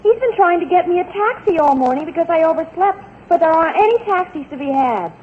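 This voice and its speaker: A woman's voice: extremely high pitch, lively intonation, fast. An actress playing a sweet, breezy teenage girl next door in a 1940s radio comedy. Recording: tinny 1940s radio, faint static.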